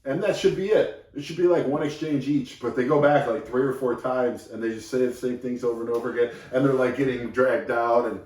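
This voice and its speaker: A man's voice: mid-range voice, fairly quick, few pauses, darkish tone, reverberant, far from the mic, poor audio. A man co-hosting a casual wrestling recap podcast, rambling and joking with frequent restarts. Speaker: podcast co-host.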